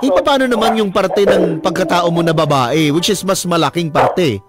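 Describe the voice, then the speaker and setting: A man's voice: high voice, moderate pace. A Filipino man hosting a radio interview, asking probing questions conversationally and dropping in English phrases. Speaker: radio host interviewing a guest. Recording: radio studio with music underneath. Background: background music.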